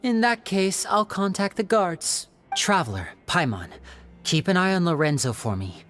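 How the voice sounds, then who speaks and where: A woman's voice: fairly low pitch, expressive, normal speed, fairly bright tone. An actress playing a composed woman investigator who questions a suspect in a video game, in a cool, probing manner. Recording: faint music behind.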